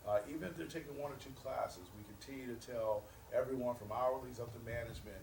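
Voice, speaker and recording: A man's voice: mid-range voice, quick pace, creaky, a little mellow. A man being interviewed as a company representative, explaining things earnestly and promotionally and repeating his key points. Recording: roomy echo, distant mic, faint noise.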